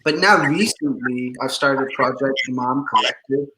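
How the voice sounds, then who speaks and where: A man's voice: medium pitch, normal speed, poor audio. Chatty young man from Pakistan. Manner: relaxed, chatty, laughs easily. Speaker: musician guest. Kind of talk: casual video interview.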